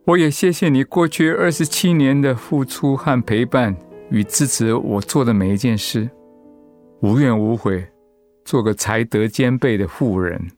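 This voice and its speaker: A man playing a steady, devoted middle-aged husband and father in a radio drama, speaking in an earnest, composed way. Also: medium pitch, unhurried, soft background music, lightly acted. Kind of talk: Mandarin radio drama acting.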